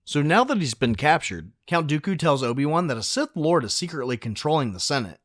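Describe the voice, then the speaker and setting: A man's voice: on the high side, big pitch swings, a little fast, fairly bright tone, no room sound, studio quality. A man narrating a film recap casually, with a wry, sarcastic, joking delivery.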